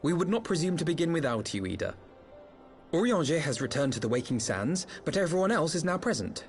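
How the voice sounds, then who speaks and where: A dutiful young noble leader in a fantasy game. His voice sits fairly high and moves expressively, with formal, measured, earnest phrasing. Soft music plays behind him. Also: very animated. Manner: formal, measured, eloquent diction. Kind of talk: video game voice acting.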